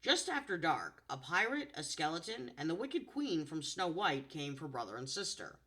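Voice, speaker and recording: A woman with a low contralto voice reads a children's story aloud with playful expression. Her delivery is animated and bright, with a lot of movement in pitch. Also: moderate pace. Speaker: voice actor reading storybooks. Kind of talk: storybook narration and chat.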